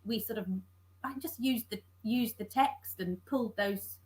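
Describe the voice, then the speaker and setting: A woman's voice: a little high, darkish tone. A woman, a scriptwriter, talking through her work in an interview, often stopping and restarting her sentences. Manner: halting, self-correcting, many restarts. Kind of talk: conversational interview. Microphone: video call.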